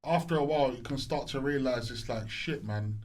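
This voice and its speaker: A man, chatting casually on a podcast and telling stories in slang-heavy, animated talk. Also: medium pitch, a little fast. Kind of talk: casual chat.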